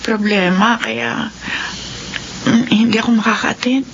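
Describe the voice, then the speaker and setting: A woman's voice: a little high, steady tone, a little slow, creaky. A Filipino woman playing an emotional, worn-down middle-aged mother of a sick child in a radio drama. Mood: troubled, sad. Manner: emotional, plaintive. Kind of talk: classic Tagalog radio drama acting. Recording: dull, lo-fi, noisy radio drama recording.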